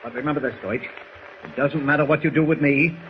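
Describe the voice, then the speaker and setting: An actor playing a blunt, defiant older newspaper editor, indignant in a 1940s radio drama. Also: male voice, medium pitch, steady tone, fast, highs cut off, lightly acted. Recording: slightly hissy old 1940s radio recording.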